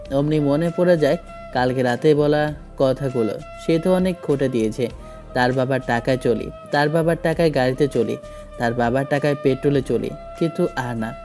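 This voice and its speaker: A man tells a first-person love story in scripted, dramatic narration. His voice is mellow and medium-pitched, with background music throughout.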